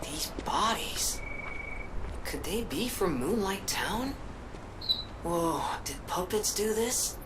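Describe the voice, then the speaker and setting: An actor playing a chatty, jittery puppet sidekick in a video game, glib and theatrical with jokey asides. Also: male voice, high voice, expressive, slow pace, long pauses, background noise. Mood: wary, alarmed. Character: chatty wisecracking puppet guide companion, eager and jittery.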